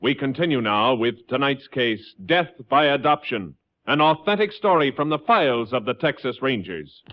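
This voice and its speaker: A man announcing a 1950s radio drama in a formal, measured broadcast style. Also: medium pitch, big pitch swings. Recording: dull vintage radio broadcast.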